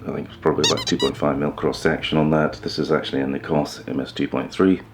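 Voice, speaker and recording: A man with an extremely low voice and a heavy creak presents an explainer. He talks informally to the viewer, keeping a measured, matter-of-fact manner throughout. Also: background noise. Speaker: host of a hi-fi upgrade video channel.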